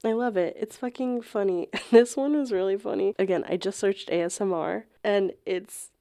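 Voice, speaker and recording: Candid, mellow-voiced woman chatting. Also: medium pitch, normal speed, vocal fry. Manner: candid, profane, amused, slangy. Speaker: podcast co-host. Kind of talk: casual comedic chat.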